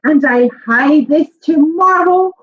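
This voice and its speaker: A mocking jungle creature from a children's storybook, extremely high and loud. It jeers slowly and scornfully, and the recording is dull. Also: female voice. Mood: scornful, jeering. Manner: loud, jeering, exclaiming. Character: shouting, scornful, mocking jungle monkey and bird bully. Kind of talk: children's storybook read-aloud.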